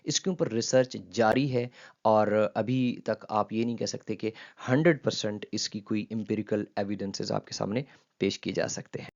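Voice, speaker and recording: A man from Pakistan with a Pakistani accent, explaining a linguistics textbook chapter as a lecturer, mixing English terms into his Urdu and pausing often on fillers. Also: on the low side, creaky, darkish tone, highs cut off.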